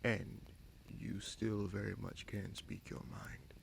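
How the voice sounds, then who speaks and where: A man playing a scheming sorcerer prince in a fantasy audio roleplay, smug and coolly menacing, with a gravelly voice and slow, deliberate, taunting delivery. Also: fairly low pitch, flat delivery, a little hiss or hum, heavily acted. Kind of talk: fantasy audio roleplay, dramatic monologue addressed to listener.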